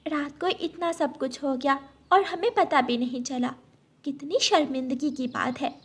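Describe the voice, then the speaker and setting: A woman's voice: high-pitched, steady tone, a little slow. A woman voicing a sensible, concerned little female bird with an earnest, peacemaking manner in a cartoon story. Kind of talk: Hindi cartoon story acting.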